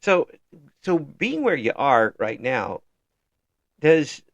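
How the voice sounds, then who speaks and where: Male American interviewer, medium pitch, jokey and halting, very dry close recording. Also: big pitch swings, vocal fry, no room sound, lo-fi sound. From United States. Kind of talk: casual chat interview.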